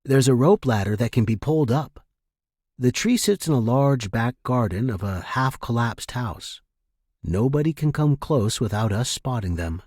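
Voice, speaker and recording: A man reading a dramatic first-person story in measured, deliberate short clauses. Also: mid-range voice, expressive, a little mellow, dry recording, studio quality. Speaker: narrator. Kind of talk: dramatic scripted fiction narration, first-person present tense.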